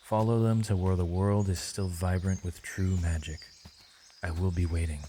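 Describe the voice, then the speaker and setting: A man playing a wise, misunderstood mage in an ASMR roleplay, speaking softly in a solemn, measured, poetic and reassuring way. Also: low-pitched, steady tone, slight background noise, close to an everyday voice. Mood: gentle, reassuring. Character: misunderstood male mage, gentle, wise, mysterious, tenderly reassuring. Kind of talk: ASMR roleplay, scripted dramatic monologue.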